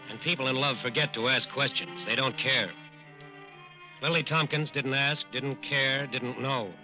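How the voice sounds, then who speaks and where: A man narrating an old radio crime drama in a measured, dramatic, sardonic way. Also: mid-range voice. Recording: muffled old radio recording with music.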